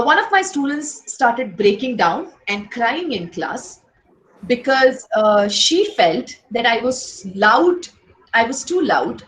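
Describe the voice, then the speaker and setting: A woman educator with a loud voice, giving an earnest lecture to fellow teachers and posing rhetorical questions. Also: fairly high pitch. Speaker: educator giving a workshop talk. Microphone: online session. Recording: echoey room.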